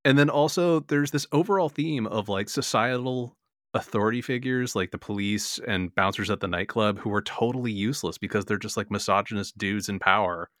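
Bright-voiced chatty man. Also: lowish voice, a little fast, no room sound, studio quality. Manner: chatty, enthusiastic, lots of filler likes. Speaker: podcast co-host. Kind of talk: casual chat.

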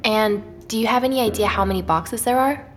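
A woman's voice: a little high, fairly quick, slight background noise, lightly acted. A woman playing a curious, candid amateur investigator, asking questions informally in an audio drama. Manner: inquisitive, informal. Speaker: actor playing interviewer. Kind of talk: naturalistic audio drama dialogue.

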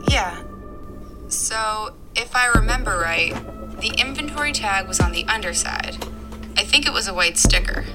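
A woman's voice: mid-range voice, animated, normal speed, loud music behind the voice, close to an everyday voice. A woman acting in an audio drama as a concerned, curious caller, speaking casually and tentatively.

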